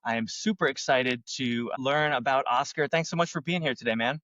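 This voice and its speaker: A man's voice: medium pitch, fairly bright tone, dry recording. Enthusiastic podcast host man, lo-fi sound. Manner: enthusiastic, halting questions with restarts. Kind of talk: podcast interview.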